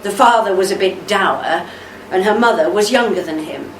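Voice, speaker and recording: A woman playing a devoted, steadfast older army wife in a radio play, with a measured, tender delivery. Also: medium pitch, lightly acted. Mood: measured, careful. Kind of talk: amateur radio play acting. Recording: roomy, distant mic, faint noise.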